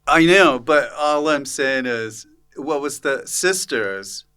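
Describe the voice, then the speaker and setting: A male podcast host with a bright, forceful voice that swings widely in pitch. He talks at an unhurried pace. His style is casual comic banter, teasing his guest with mock exasperation. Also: animated, clean tone.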